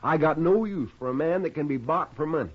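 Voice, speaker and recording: An actor playing an earnest, folksy marshal's sidekick in a 1950s radio western, with a plaintive, drawn-out delivery. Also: male voice, on the high side, close to an everyday voice. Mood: earnest, troubled. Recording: muffled 1950s radio recording.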